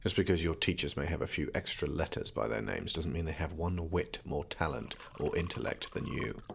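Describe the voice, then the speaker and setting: Young royal scholar: condescending, formal, very low and gravelly, audio drama. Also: male voice, slight background noise. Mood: dry, polite. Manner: formal, measured, haughty. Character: condescending, formal young prince studying magic.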